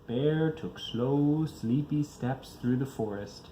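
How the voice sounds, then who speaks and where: A man's voice: mid-range voice, slow, noisy background. A father reading a children's picture book aloud, expressively, varying his pace for young listeners.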